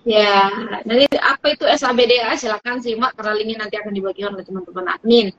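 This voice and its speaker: A woman's voice: fairly high pitch, fairly even intonation, quick pace, fairly bright tone, lo-fi sound. A woman co-hosting an online Bible study live stream in a chatty, casual way, often laughing and restarting sentences.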